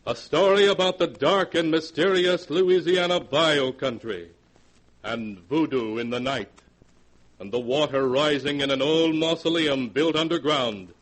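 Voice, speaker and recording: Slow, ominous actor as vintage horror radio host. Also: male voice, on the high side, close to an everyday voice. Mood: grandly foreboding. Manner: theatrical, ominous, solemn delivery. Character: solemn, ominous male host of a horror tale anthology. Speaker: announcer and host. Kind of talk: 1940s radio horror narration. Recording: noisy vintage 1940s radio, long reverb.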